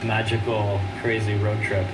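Dry-joking man, low voice, distant. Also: monotone, unhurried. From Bosnia. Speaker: band member guest. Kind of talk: casual interview chat. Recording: roomy, distant, noisy webcast.

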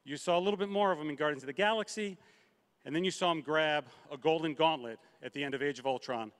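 A man on a large convention stage with a handheld microphone, introducing actors one by one and shouting their names over the crowd. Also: a little high, quick pace. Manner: announces names with rising, shouted emphasis. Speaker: studio head presenting a convention panel.

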